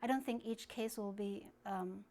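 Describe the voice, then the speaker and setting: A woman from China, speaking English as a later language, answering interview questions in a halting way with many restarts. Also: medium pitch, a little mellow. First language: Kazakh. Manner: halting, searching for words, frequent restarts. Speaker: guest activist.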